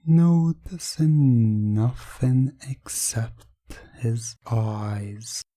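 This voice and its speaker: A man narrating an ASMR hypnosis story in a hushed, deliberate, soothing, repetitive way. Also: medium pitch, no room sound.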